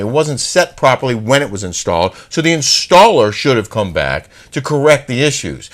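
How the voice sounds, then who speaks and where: Lively-pitched man explaining matter-of-factly. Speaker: co-host. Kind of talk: casual chat.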